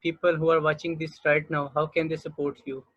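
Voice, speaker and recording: A man hosting an online interview, asking short questions in a slightly high, flat voice. The audio is dull and lo-fi from a video call, with no room around it. He keeps his delivery plain. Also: brisk, dry recording. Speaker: interview host.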